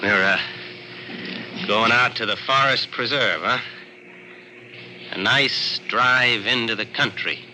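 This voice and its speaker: An actor playing a desperate small-time gambler in 1940s radio drama style, pleading in a nervous, halting way. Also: male voice, mid-range voice, natural voice. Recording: noisy old-time radio recording.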